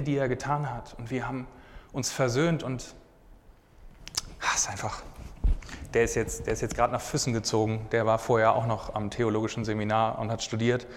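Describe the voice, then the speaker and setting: Young male preacher in his twenties, earnest and casual, church hall with long reverb. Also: mid-range voice. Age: 20s. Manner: earnest, casual, often says "hey". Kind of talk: sermon with personal testimony. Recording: hall reverb, faint background noise.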